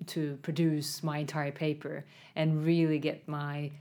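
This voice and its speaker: Female academic co-host, low voice, thoughtful. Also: flat delivery, measured pace, dark tone. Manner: reflective, conversational. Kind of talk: casual academic chat.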